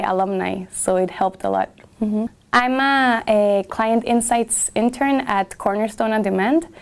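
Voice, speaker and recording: A young woman from Guatemala talks about her internship in an interview. Her voice is smooth and clear, and she pauses often with small fillers as she finds her words. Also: medium pitch, darkish tone. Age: young adult. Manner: hesitant, frequent fillers. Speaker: graduate student intern. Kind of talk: interview testimonial.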